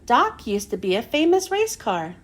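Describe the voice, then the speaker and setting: Lady reading a kids book out loud, high voice, really lively and dramatic. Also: normal speed. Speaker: children's book reader. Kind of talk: expressive storybook read-aloud for children.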